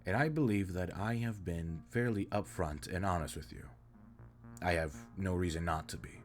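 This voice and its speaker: A man's voice: low voice, moderate pace, soft background music, lightly acted. A man playing an earnest, caring alien spaceship captain in an audio roleplay, speaking in stiff, formal, precise sentences. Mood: earnest, reassuring. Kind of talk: scripted roleplay audio drama, addressing the listener.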